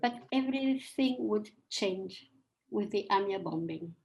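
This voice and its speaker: An older woman with Polish as her first tongue, from Argentina, reading a personal account slowly and with feeling as a panel speaker. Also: medium pitch, lively intonation, a little mellow, dull audio. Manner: slow, measured and emotional.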